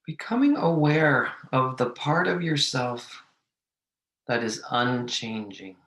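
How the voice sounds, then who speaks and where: A man leading a guided meditation, giving gentle, measured instructions with long pauses. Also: mid-range voice, lively intonation. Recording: echoey room.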